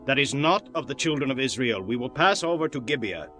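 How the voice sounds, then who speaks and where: An actor playing a resolute, courteous travelling master in a dramatized scripture reading, speaking earnest archaic lines. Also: male voice, medium pitch, expressive, brisk, sharp tone, soft background music.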